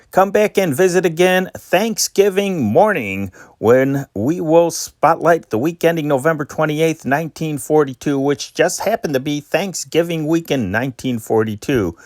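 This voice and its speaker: A man hosting a program on old radio, signing off in a relaxed, chatty way. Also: fairly high pitch, no echo, clean studio sound. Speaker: host. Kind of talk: casual podcast outro.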